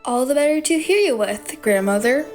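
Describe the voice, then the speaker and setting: Young storybook heroine, high-pitched, formally polite and eager, with faint music behind. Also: female voice, expressive, normal speed, darkish tone. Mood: eerily eager. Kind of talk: audio drama acting.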